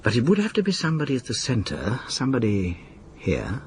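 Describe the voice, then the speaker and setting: Urbane English official, swooping intonation. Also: male voice, mid-range voice, wildly swooping pitch, brisk, darkish tone, slight background noise. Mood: dismissive, musing. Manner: suave, clipped, sardonic. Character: urbane, bitter, slyly amused male exam-board official. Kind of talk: naturalistic radio drama acting.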